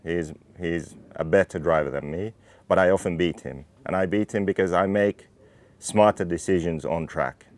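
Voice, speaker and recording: A man in his thirties from Finland, a racing team owner calmly explaining his car in technical detail. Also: low-pitched, moderate pace. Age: 30s. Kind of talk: interview talk about his car.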